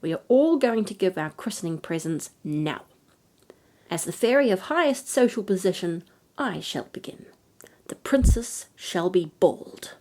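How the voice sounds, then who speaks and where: A woman voicing a wicked, rude old fairy in a commanding, deliberate storybook manner. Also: on the low side, animated, a little mellow. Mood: imperious, spiteful.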